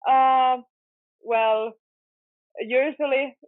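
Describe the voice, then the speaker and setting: Slow Finnish-accented young woman on tinny line. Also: sits high, fairly even intonation, no room sound. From Finland. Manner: slow, hesitant, many uh fillers. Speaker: podcast guest. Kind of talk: casual interview chat. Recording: tinny phone-like call audio.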